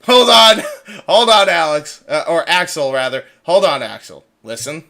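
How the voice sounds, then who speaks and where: A man's voice: a little high, very animated, a little slow, sharp tone. A man hosting a casual livestream, chatting informally with plenty of swearing and repeated phrases. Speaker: livestream host.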